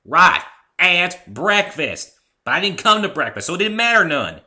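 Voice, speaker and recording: A man's voice: sits high, sing-song, normal speed, bright, forceful tone, pitched above the performer's own voice, heavily acted. Man voicing a folksy Southern cartoon farm mare. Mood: annoyed. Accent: Southern US country. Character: hearty, stubborn young farm mare, cartoon pony.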